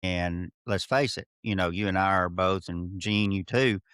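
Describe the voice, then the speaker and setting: A middle-aged Southern man from Alabama hosts a casual podcast. His voice sits low and he wanders into chatty asides. The sound is dry and close. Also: moderate pace, fairly bright tone, clean tone, dry recording. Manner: friendly, rambling asides. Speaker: podcast host. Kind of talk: casual interview.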